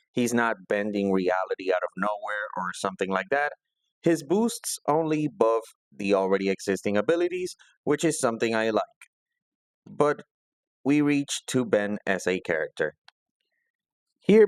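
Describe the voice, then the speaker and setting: A man's voice: lowish voice, smooth, no echo. A man hosting a review video, narrating a scripted video essay. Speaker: host of a comics review video.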